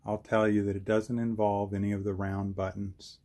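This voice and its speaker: Low-voiced middle-aged man, dry teasing. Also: level tone, normal speed, a little mellow. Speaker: person filming and supervising. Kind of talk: casual chat.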